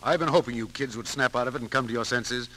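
A man playing a gruff, blustering but principled businessman in 1940s radio drama, speaking bluntly and emphatically. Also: mid-range voice, quick pace, bright, forceful tone, poor audio. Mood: stern, sarcastic. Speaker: actor. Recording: 1940s radio broadcast recording.

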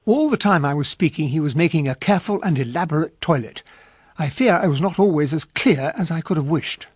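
Earnest English gentleman, Received Pronunciation, polite narrator, husky, radio drama, dry and muffled recording. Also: a little high, expressive, fairly quick, dry recording. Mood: narrating. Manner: polite, earnest, well-spoken. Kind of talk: radio drama acting and first-person narration.

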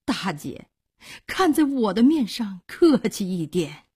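A woman's voice: medium pitch, sing-song, takes it slowly, darkish tone, breathy edge, full character voice. A woman storyteller playing an emotional, besotted male court clerk in a dramatic manner. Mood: placating.

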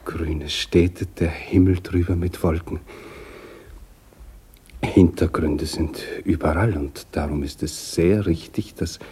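An actor playing a courteous, melancholy middle-aged husband in a literary radio play, speaking haltingly with a breathy, husky voice. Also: male voice, a bass voice, mellow, full character voice. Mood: wistful, musing. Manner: halting, hesitant, old-fashioned politeness. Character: melancholy, courteous ailing husband in a small town.

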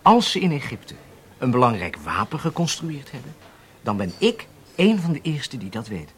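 A man's voice: medium pitch, big pitch swings, moderate pace, natural voice. An actor in a Dutch radio drama playing a self-assured, impatient undercover spy, speaking emphatically as if lecturing his handlers. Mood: dismissive, confident.

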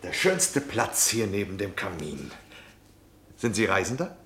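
A man's voice: sits low, sing-song, measured pace, fairly bright tone, reverberant, slight background noise, exaggerated voice. An actor playing a brash, chatty travelling salesman in a German radio drama, pushy and jovial with a grumbling streak. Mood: jovial, nosy.

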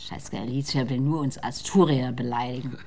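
A woman's voice: a bass voice, steady tone, normal speed, a little mellow, a little hiss or hum. An actress playing a bitter, drunken woman who curses scornfully in a radio drama. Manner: cursing, scornful, breaks into tears. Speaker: voice actor.

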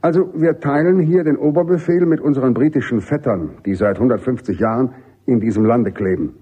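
Army general, male, middle-aged actor: mellow, slightly rough voice, jovial authority, emphatic radio-play delivery. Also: medium pitch, a little fast, lo-fi sound, lightly acted. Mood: firm, insistent. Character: commanding American army general, genial but insistent. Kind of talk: German radio drama acting.

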